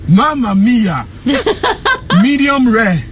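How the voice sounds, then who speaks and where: A man from Africa gushing with excitement over a steak in a social media clip. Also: extremely high pitch, drawn-out words, faint music behind. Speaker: social media food reviewer.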